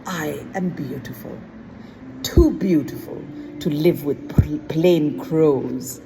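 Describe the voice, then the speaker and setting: A woman's voice: low-pitched, takes it slowly, over music, pitched below the performer's own voice. A woman putting on a vain storybook crow. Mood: smug. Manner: preening, exaggerated storybook delivery. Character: vain male crow, proud then self-pitying.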